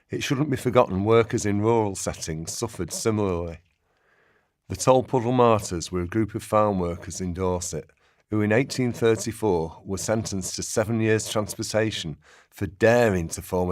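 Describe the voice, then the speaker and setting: A man acts as the earnest narrator of a social-history radio play. His voice is very deep, with a formal, measured delivery. The sound is dry, with no room around it. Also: darkish tone, no room sound, lightly acted. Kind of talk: scripted documentary-style radio play narration.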